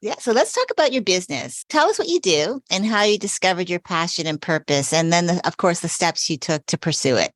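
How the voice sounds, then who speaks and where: A woman's voice: lowish voice, expressive, fairly quick, hardly any pauses, no echo. A woman hosting a podcast interview, opening with a scripted introduction and then chatting with her guest in an enthusiastic, gushing way. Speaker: podcast host, self-care coach.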